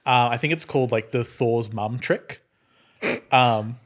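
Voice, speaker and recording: A man's voice: medium pitch, measured pace. A man chatting casually with friends about writing and his tabletop game character, rambling and breaking off his sentences, often laughing. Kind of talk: casual group chat.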